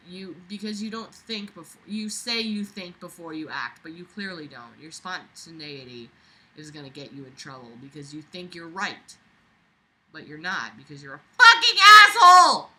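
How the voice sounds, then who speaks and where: Really bright sharp-voiced woman reading a book out loud, snarky and fed up, swears a lot while she rips into it. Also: lowish voice, expressive, normal speed, slight background noise, low-quality recording. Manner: sarcastic, scornful, swearing freely; theatrically exasperated asides. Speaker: reader reviewing a book aloud. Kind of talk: reading a book aloud with exasperated running commentary.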